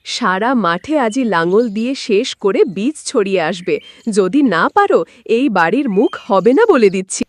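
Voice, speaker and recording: A woman's voice: mid-range voice, big pitch swings, moderate pace, no echo, close to an everyday voice. A woman voicing a bossy, greedy farmer's wife in a cartoon moral story, scolding and insistent. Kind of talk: animated moral story voice acting.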